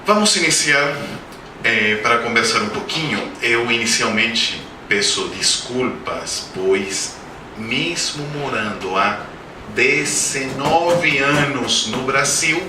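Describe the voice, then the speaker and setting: A man's voice: medium pitch, lively intonation, slow pace. A man in his forties, a native Spanish speaker with a Spanish-speaking accent, giving a humorous spiritist lecture full of anecdotes and questions to the audience. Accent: Spanish-speaking accent in Portuguese. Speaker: guest speaker at a spiritist center. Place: lecture hall. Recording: roomy hall, distant mic, background noise.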